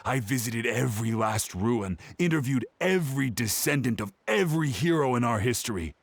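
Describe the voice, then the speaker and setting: An actor playing a woeful, pleading old scholar with a dramatic, earnest manner in a video game. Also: male voice, mid-range voice, animated, moderate pace, husky, no echo. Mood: aggrieved. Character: old scholar man, pleading and woeful, devoted to his research. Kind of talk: video game character acting.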